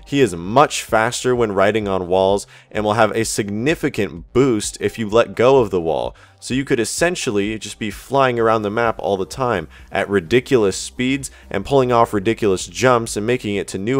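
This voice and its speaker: Low-voiced man narrating gaming news. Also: moderate pace, few pauses, no echo. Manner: addresses viewers directly, explanatory. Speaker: YouTube gaming news host. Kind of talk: scripted video game news narration.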